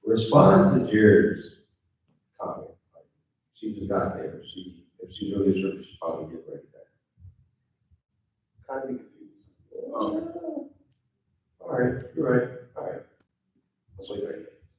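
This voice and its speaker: A man giving informal, halting feedback to actors as a director, thinking aloud and demonstrating how lines should go. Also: mid-range voice, huge pitch swings. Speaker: director giving notes at a table read. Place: live in-person event room. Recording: echoey room, distant muffled mic.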